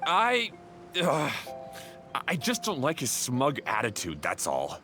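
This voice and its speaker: A man's voice: medium pitch, huge pitch swings, slow pace, over music. A man playing a hot-headed, defensive young schemer in a video game, cocky and huffy. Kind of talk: video game cutscene acting.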